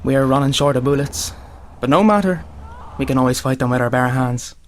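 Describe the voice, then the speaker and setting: An actor playing a weary, anxious young Irish soldier of 1916 in a radio play. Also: male voice, mid-range voice, a little fast, slight background noise, lightly acted. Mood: weary, homesick.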